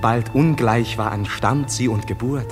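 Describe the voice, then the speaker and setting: A man's voice: medium pitch, a little slow, big-room sound, music in the background, close to an everyday voice. An actor playing a passionate young nobleman in love, declaiming lyrically in a classical verse radio drama. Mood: tender, lamenting. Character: ardent, lovelorn young nobleman, defiant suitor.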